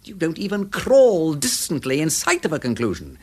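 An aristocratic English gentleman detective in a radio drama. His upper-class voice swoops dramatically up and down, full of playful exclamations, and he is witty, keen and theatrical. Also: fairly high pitch, wildly swooping pitch, normal speed, husky, full character voice. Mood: teasing exasperation.

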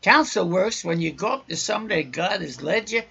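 Man, raspy and husky, loud raucous podcast preacher, muffled lo-fi recording. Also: high voice, expressive, fairly quick. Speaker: podcast host.

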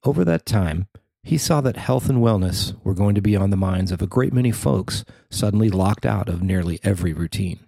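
Low-voiced man reading a feature story. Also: creaky, husky, no room sound. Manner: measured, even reading. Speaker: newspaper columnist reading his story. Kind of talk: scripted narration.

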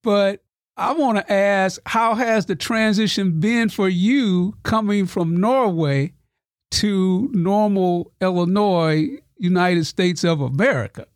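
A man's voice: sits high, slow pace, no echo, studio quality. A man hosting a podcast interview with his students, enthusiastic and formal in his introductions. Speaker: podcast host and instructor.

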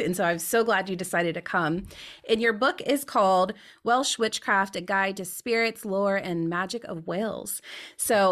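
A woman's voice: medium pitch, a bit bright. A woman hosting a podcast, talking effusively and enthusiastically to her guest. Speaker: podcast host. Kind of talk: casual interview chat.

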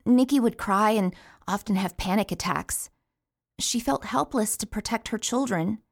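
A blunt female prisoner telling of a friend in an audio play. She sounds indignant and grieving, at a middling pitch, in a very dry recording. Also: normal speed, vocal fry, dry recording. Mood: sad. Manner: blunt, profane. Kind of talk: storytelling audio play monologue.

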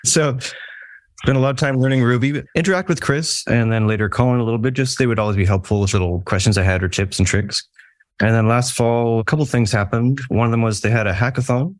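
A man's voice: mid-range voice, quick pace, no echo. A Canadian man with a Canadian accent, a guest on a podcast, who chats in an easygoing way with self-deprecating humor. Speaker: customer support engineer, guest. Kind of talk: casual podcast chat.

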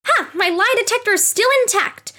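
A woman's voice: very high voice, normal speed, sharp tone. A woman playing an earnest, nosy young woman, a little defensive, in an audio drama. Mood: probing, friendly.

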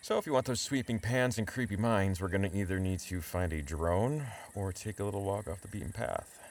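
Deep-voiced, reluctant, grumbling sidekick. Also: male voice, a little fast, a little hiss or hum. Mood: practical, wry. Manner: hesitant, wry complaining. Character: reluctant, grumbling, nervous young man, unathletic sidekick. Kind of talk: full-cast audio drama acting. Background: music.

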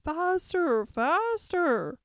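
A woman voicing a bossy, brisk, imperious queen from a storybook, speaking in a commanding, emphatic way. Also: sits high, sing-song, no room sound, studio quality, pitched above the performer's own voice. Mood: urgent, commanding. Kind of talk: storybook character voice.

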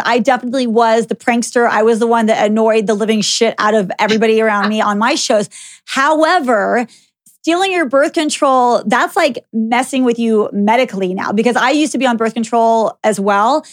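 A woman's voice: on the high side, fairly quick. A young woman hosting a casual chat, blunt and filling her talk with "like", swearing freely. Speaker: podcast host.

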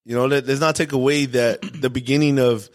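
Laid-back young podcast host. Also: male voice, mid-range voice, fairly even intonation, a little fast. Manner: laid-back, slangy, gives shout-outs. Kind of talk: casual podcast chat.

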